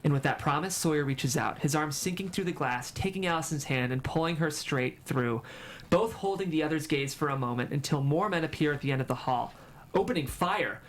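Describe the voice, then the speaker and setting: Male narrator, screenplay stage directions, scripted read, slightly high pitch, faint room noise. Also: brisk.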